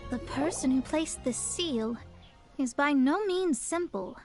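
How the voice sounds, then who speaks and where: A woman's voice: sits high, lively intonation, slow pace, music in the background, full character voice. A woman playing a proud young female astrologer in a video game who is poised but easily flustered. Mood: focused.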